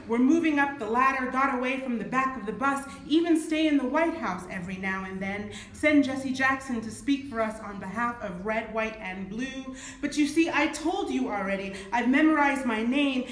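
Emphatic high-voiced woman performing poetry. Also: fairly bright tone. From Nigeria. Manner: rhythmic, emphatic, gesturing while performing. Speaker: poet. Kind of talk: spoken word poetry reading. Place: small room with bookshelves, at a lectern. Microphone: far camera. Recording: echoey room.

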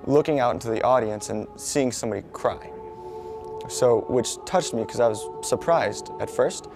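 A young man in his twenties speaks in an earnest, heartfelt way as a choir member. Choral music plays clearly underneath him. His voice sits at an ordinary male pitch. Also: normal speed. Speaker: choir member and theology student. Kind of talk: interview. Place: wood-paneled office.

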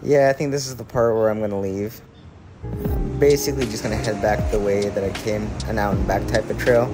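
A man's voice: on the low side, steady tone, brisk, music in the background. A young man narrating a casual travel vlog in a laid-back, informal way, recorded outdoors on a handheld phone. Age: young adult. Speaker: travel vlogger. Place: outdoors, on trails and streets. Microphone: handheld phone at arm's length.

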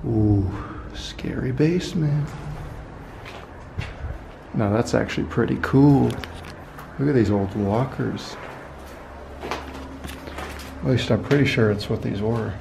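A man narrating his own exploration of abandoned buildings in a dry, wry, deadpan way, filmed on a handheld camera. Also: mid-range voice, slow pace, creaky, long pauses, noisy background. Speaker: urban explorer vlogger. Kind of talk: casual solo exploring commentary. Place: abandoned buildings and overgrown fields, indoors and outdoors.

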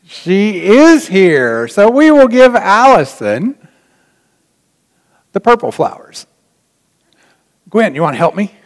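A middle-aged man, a pastor addressing his congregation informally in a folksy, dry manner with long pauses, in a large church. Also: high voice, wildly swooping pitch. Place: large church sanctuary, indoors. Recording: reverberant church hall.

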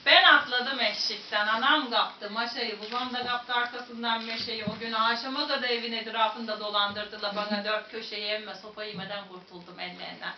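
A woman's voice: on the high side, fairly even intonation, moderate pace, few pauses. A woman with a Central Anatolian accent telling a traditional folk tale to a live audience in a rhythmic, rhymed patter, talking directly to her listeners. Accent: Central Anatolian Turkish. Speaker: folk storyteller. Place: museum site with onlookers and photographers. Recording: distant, echoey, dull recording with faint noise.

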